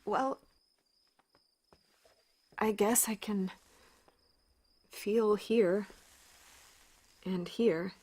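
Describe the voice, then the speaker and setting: An actress playing a gentle, probing children's doctor in an audio drama, soft-spoken, hesitant and full of questions. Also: female voice, mid-range voice, fairly even intonation, extremely slow, long pauses, husky. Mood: careful, probing. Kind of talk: naturalistic audio drama acting.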